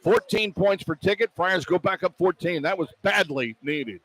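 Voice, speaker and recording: A man doing live radio basketball play-by-play, describing the action in short, clipped phrases that build toward excited calls. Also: sits high, normal speed, low-quality recording. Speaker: play-by-play announcer. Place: broadcast position at an indoor arena.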